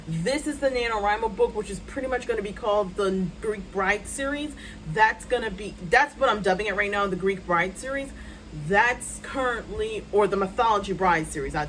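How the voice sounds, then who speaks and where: Loud chatty woman rambling into her phone cam at home, hot room w a fan going, faint music under. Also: mid-range voice, poor audio. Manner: chatty and rambling, many ums and asides. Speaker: writer vlogger. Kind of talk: casual chat to a phone camera. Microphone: phone front camera.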